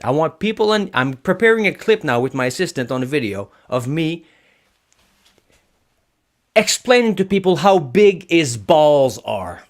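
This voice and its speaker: A man's voice: fairly high pitch, very animated, measured pace. A man, a guest in an interview, telling a story with lots of energy and restarting his sentences often. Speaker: podcast guest, public speaking coach.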